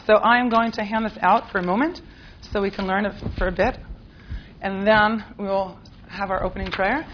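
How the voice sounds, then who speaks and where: A woman's voice: mid-range voice, dull audio, slight background noise. Playful American-accented woman rabbi teaching. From Israel. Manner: chatty and playful, often laughing, many fillers. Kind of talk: informal classroom teaching. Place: classroom with a live group.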